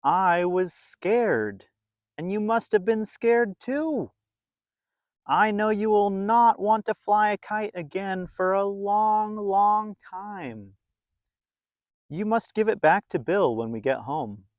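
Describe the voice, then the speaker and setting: A storyteller voicing a kindly, relieved grown man from a picture book, speaking in a gentle, earnest, reassuring way. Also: mid-range voice, takes it slowly, dry recording, natural voice. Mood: relieved, caring.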